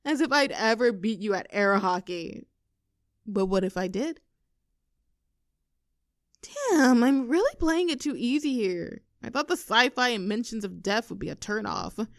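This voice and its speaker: A woman's voice: on the high side, expressive, gravelly, dry recording. Woman acting a close-up, affectionate playful girlfriend. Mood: playful, teasing. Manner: teasing, halting when earnest. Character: affectionate, playful young girlfriend with anxious vulnerable streak. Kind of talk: intimate girlfriend roleplay audio drama.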